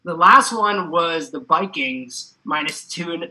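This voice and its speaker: Male podcast guest, sports betting talk. Very sharp, bright tone, hammy and casual. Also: fairly high pitch, measured pace, poor audio. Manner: playful, hammy, talks in informal slang. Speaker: recurring podcast guest giving betting picks.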